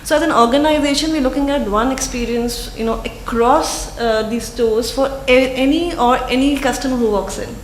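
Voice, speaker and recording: A woman's voice: sits high, normal speed, hardly any pauses, echoey room, a little hiss or hum. A woman, speaking for a retail brand on a panel in a structured, businesslike way. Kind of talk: panel discussion.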